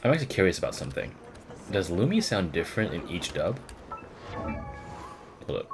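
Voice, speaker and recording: Low, very animated male game streamer. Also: fairly quick. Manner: exclaims and muses aloud to self. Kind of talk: casual live game commentary. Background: game music.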